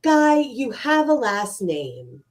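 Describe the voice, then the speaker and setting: A woman's voice: on the high side, very animated, slow. A woman playing a wry, exasperated actress crewmate with a sarcastic delivery in a live script read.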